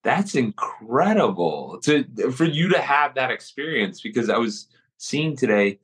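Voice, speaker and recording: A man hosting a podcast interview, upbeat and asking quick follow-up questions. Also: medium pitch, big pitch swings, a bit bright, breathy edge. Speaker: podcast host.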